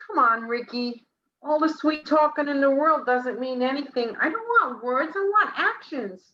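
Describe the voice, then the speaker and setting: A woman's voice: high voice, lively intonation, normal speed, lo-fi sound. An actress playing a detective's long-suffering girlfriend in a campy 1940s-style noir radio play. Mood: impatient. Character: detective's impatient but easily won-over girlfriend.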